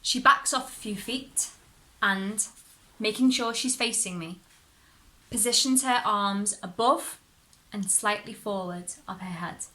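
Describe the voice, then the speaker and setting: Bright-voiced woman reading a story slowly. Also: mid-range voice, rough recording. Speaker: story reader. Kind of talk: expressive scripted story narration.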